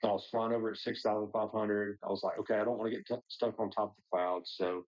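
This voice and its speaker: A man's voice: fairly low pitch, little pitch movement, quick pace, darkish tone, dull audio. A man talking casually as a pilot sharing experience, in loose, hesitant phrasing sprinkled with "like". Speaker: pilot, discussion participant. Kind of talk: casual personal storytelling.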